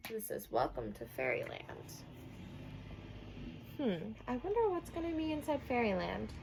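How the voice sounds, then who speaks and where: Female primary teacher, slightly high voice, storybook read-aloud with simple explanations and questions for young listeners. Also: wildly swooping pitch, moderate pace, gravelly, noisy background.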